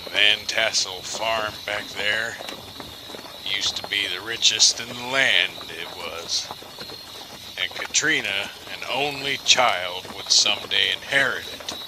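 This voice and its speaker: Slow, low-voiced folksy storyteller played by a man. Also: background noise. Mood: knowing, ominous. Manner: theatrical, folksy, deliberate. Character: folksy male storyteller guide, wry and ominous. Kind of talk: dramatic storytelling in an audio drama.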